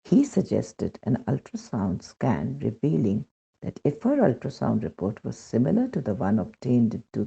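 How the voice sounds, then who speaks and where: Gravelly, mellow Indian man reading aloud. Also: medium pitch. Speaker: reader of devotional testimony. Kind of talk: scripted narration, read aloud. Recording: dull, muffled lo-fi recording.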